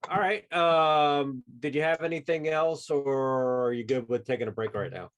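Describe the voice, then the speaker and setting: A man's voice: medium pitch, moderate pace. A man running a tabletop game as game master, talking in an easygoing, hesitant, casual way.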